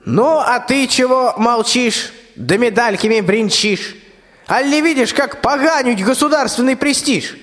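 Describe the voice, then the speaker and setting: Man acting aged tsar, vain, commanding, high voice, reverberant hall, rhymed radio drama. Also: measured pace, fairly bright tone. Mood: indignant, stubborn. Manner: commanding, folksy rhymed speech. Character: vain, bossy, balding very old tsar. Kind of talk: stage-like rhymed radio play.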